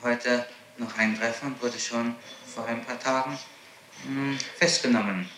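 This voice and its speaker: A man questioning someone as a prosecutor, formal and somewhat halting, on an archival interrogation tape. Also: mid-range voice, fairly even intonation, roomy sound, background noise. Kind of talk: spontaneous interrogation.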